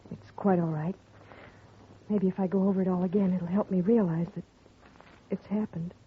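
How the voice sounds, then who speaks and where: An actress playing a grieving young woman whose father has been murdered, speaking softly and earnestly in a 1950s radio drama. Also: lowish voice, fairly even intonation, moderate pace, natural voice. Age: young adult. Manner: soft and earnest, recounting quietly. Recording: tinny lo-fi 1950 radio broadcast with faint hiss.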